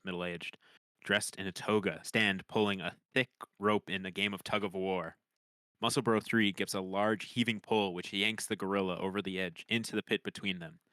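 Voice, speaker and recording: Male script reader, deep register, dry recording, narrating scene headings and action. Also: sharp tone, dry recording. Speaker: podcaster reading stage directions. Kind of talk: scripted narration at a table read.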